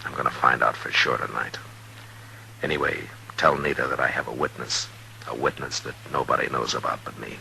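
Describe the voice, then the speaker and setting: A man acting a stern, resolute western lawman in a 1950s radio drama, terse and authoritative with a gravelly voice. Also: a bass voice, steady tone, a little fast, bright, forceful tone, heavily acted, low-quality recording. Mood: grave, determined. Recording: hissy, humming 1950s radio recording.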